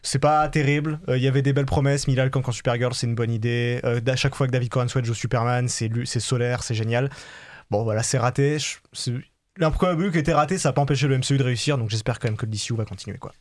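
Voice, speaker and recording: A man's voice: medium pitch, steady tone, dry recording. A man on a casual film podcast, talking bluntly about films. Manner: fast, blunt.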